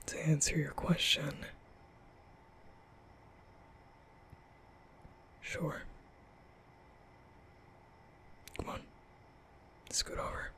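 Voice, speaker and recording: A man playing a nervous, gentle young vampire in an intimate ASMR roleplay, speaking hesitantly in a breathy half-whisper. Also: a little high, level tone. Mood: soft, reassuring. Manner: hesitant, stammering, slow.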